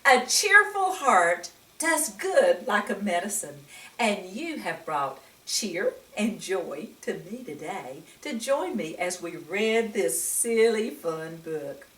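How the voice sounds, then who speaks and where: Expressive woman storyteller with swooping pitch. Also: slow. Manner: exclaiming, expressive, often asks the listener questions. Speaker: storyteller reading a children's book. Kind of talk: read-aloud to young children with commentary and questions. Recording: echoey room, distant microphone.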